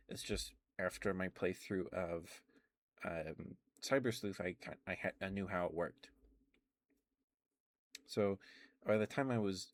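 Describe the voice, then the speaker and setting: A man chatting quietly about video games for an ASMR stream, hesitant and rambling with many pauses and soft laughs. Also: deep voice, dry recording. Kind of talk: rambling ASMR game chat.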